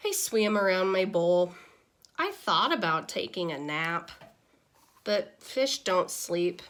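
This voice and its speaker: A woman voicing a small, easily exasperated pet goldfish narrating a diary in an expressive, deliberate children's picture-book read-aloud. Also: on the low side, pitched below the performer's own voice. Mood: flat, bored.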